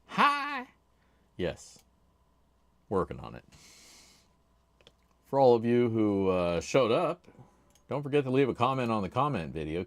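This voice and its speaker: A man hosting a casual livestream, chatty and laid-back, with a loud voice, talking into a desk microphone at his home streaming setup. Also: medium pitch, dry recording. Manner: chatty, laid-back, reads chat names aloud. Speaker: livestream host.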